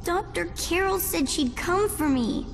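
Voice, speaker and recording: A woman's voice: very high voice, expressive, unhurried, gravelly, exaggerated voice. A woman voicing a timid, earnest, polite little girl with childlike simple speech in a video game cutscene. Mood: wary. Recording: reverberant hall, faint music behind.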